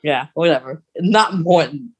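A podcast co-host speaks in a mid-pitched voice. The delivery is casual and conversational, with a wry edge, like news shared in an informal chat. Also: expressive, normal speed, slightly rough.

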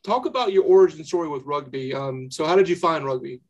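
Chill podcast guy, faint north carolina twang, higher voice, jokes around a lot. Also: animated, fast talker, dull audio, a crowd in the background. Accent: faint North Carolina accent, mixed with New England. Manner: laid-back, jokey, laughs often. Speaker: podcast host. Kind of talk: casual podcast interview.

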